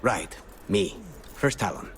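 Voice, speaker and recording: An actor playing a dry-witted, brooding assassin in a fantasy game, understated and deadpan. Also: male voice, low-pitched, slow pace, a little hiss or hum, heavily acted.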